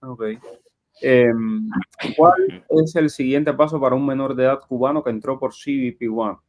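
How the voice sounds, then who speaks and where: Male live Q&A host, medium pitch, conversational, reads viewer questions out loud. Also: moderate pace. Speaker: live show host and journalist. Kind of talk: live Q&A, reading audience questions aloud.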